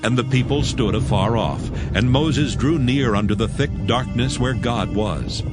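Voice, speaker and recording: A man narrating a dramatized scripture reading in a steady, measured storytelling manner. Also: medium pitch. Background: loud music.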